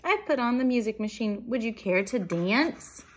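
A woman voicing a haughty, bossy, excitable female city mouse in a children's storybook, with a grand and boastful manner. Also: a little high, moderate pace. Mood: breezy. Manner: grand, boastful, shrieking when alarmed. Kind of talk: children's storybook read-aloud.

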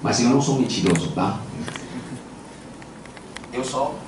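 A man's voice: mid-range voice, lively intonation, measured pace. An older man speaking Portuguese with a Japanese accent, giving an informal acupuncture lecture into a handheld mic, telling anecdotes in a playful, self-deprecating way. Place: large lecture hall with audience. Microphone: handheld microphone. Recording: echoey hall, camera at a distance, background noise.

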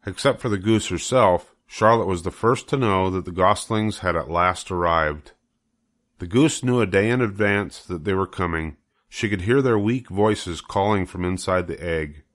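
A man's voice: low voice, normal speed, fairly bright tone. An older man narrating a children's book as a storybook reader.

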